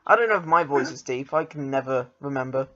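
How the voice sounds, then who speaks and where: A man's voice: mid-range voice, expressive, fast, bright, forceful tone, muffled recording. A young man with an English accent, chatting casually as a podcast host in a hesitant, self-deprecating way.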